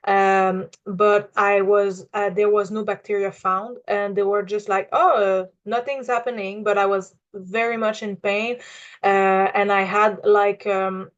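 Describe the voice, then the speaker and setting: A young woman in her twenties speaks English with a Quebec French accent, her native tongue showing as she searches for words. She talks in a flat, even tone, full of "uh" and "um" and small restarts. The audio is a little dull and lo-fi. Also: medium pitch, unhurried. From Quebec, Canada. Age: 20s. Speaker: client giving a testimonial. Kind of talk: unscripted personal testimonial.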